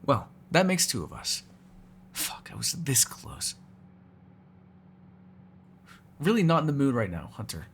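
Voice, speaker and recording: A man's voice: mid-range voice, wildly swooping pitch, moderate pace, a little hiss or hum. A man voicing a hungry, cranky, flirtatious male vampire in an ASMR audio roleplay, sarcastic and given to swearing. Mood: sullen, tired. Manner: sarcastic, swearing, moody, shifting from murmurs to exasperated outbursts.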